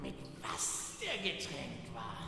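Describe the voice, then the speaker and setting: A theatrical mad-doctor villain gloats very slowly, the pitch swinging widely. It is comic radio drama in a cavernous reverb. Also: male voice, wildly swooping pitch, gravelly, sharp tone, heavily acted. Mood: gloating. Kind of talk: comic radio play acting. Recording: very echoey hall.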